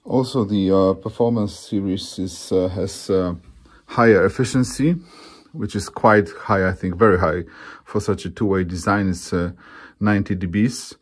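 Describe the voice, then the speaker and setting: Deep-voiced man, halting product presenter. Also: fairly even intonation. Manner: halting, searching for words. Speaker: loudspeaker designer presenting own products.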